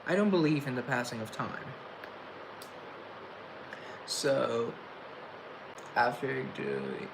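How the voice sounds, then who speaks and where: Young male streamer, mid voice, halting, stuttering, chatty; noisy webcam sound. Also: mid-range voice, vocal fry. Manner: halting, stuttering, restarts words; reflective and chatty. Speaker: livestream host. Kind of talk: casual livestream chat, reading chat aloud. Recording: noisy room tone.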